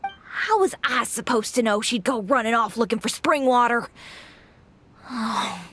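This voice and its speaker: A woman's voice: fairly high pitch, animated, a little slow, fairly bright tone, slightly rough, heavily acted. An actress voicing a flustered, worried middle-aged mother, anxious and fretful.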